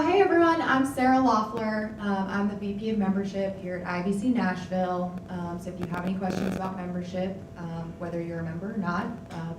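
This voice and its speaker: Chatty young woman, echoey conference room. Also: mid-range voice. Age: young adult. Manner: friendly, chatty, many fillers. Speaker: panelist, content manager. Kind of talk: panel self-introduction. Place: conference room before a live audience. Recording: echoey room, distant mic, noisy.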